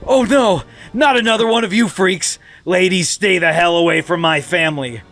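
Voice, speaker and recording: A man doing a frantic shouting father. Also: high voice, moderate pace, soft background music, voice pushed up. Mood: panicked. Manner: panicked shouting.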